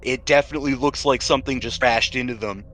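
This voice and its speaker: A man narrating a tabletop role-playing game as game master, with deliberate, descriptive storytelling and pauses. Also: mid-range voice, a bit bright.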